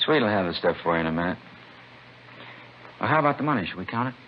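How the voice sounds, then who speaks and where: A man's voice: on the low side, lively intonation, fast talker, lightly acted. An American man playing a drug dealer in a vintage radio drama, placating and bossy by turns. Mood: businesslike, impatient. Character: smooth-talking male drug dealer, placating but bossy. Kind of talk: 1950s radio drama acting. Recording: muffled, hissy vintage radio recording.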